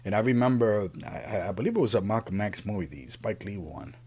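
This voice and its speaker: A man, born in Puerto Rico and raised in the Bronx, giving an earnest, unscripted opinion monologue as a podcast host, often halting and repeating himself. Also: on the low side, expressive, darkish tone, breathy edge. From Puerto Rico, raised in the Bronx, New York City.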